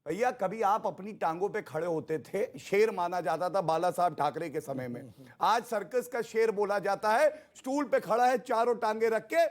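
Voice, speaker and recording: A man's voice: high voice, moderate pace, few pauses. A man arguing in a heated TV debate as a panelist, combative and rhetorical, repeating himself for emphasis.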